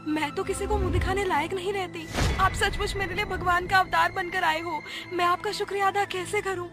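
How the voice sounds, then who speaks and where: Woman voicing a sisterly young woman, cartoon story, extremely high pitch, sweet, earnest, dramatic, music behind. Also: fairly even intonation, fairly quick. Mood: grateful. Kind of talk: Hindi animated story dialogue.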